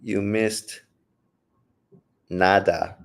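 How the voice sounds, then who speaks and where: A man's voice: lowish voice, steady tone, extremely slow, gravelly. Profane man calling a fight live. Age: adult, old enough to say he got old. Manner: profane, blunt and joking. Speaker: livestream host reacting to a boxing fight. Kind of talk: casual live commentary, reading chat.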